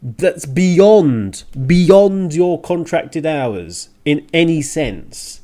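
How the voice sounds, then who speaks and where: Exasperated male radio host, halting delivery. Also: a little high, very animated, warm tone. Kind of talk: casual opinionated podcast rant on games and film news.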